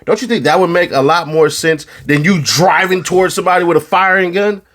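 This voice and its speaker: A man from California talking in a fairly high voice, loose and slangy as he commentates on a court case. He swears casually and keeps his delivery animated and conversational. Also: brisk. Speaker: online commentator.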